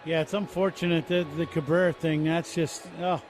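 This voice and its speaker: A man's voice: a little high, fairly even intonation, moderate pace, a little mellow, slight background noise. A man giving color commentary on a radio baseball broadcast, talking loosely and breaking down each play. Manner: conversational, explaining plays with restarts.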